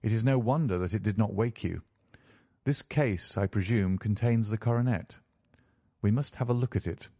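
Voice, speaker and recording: A man voicing a keen, courteous gentleman detective who speaks in a measured, formal, probing way in a storybook. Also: lowish voice. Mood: composed, analytical.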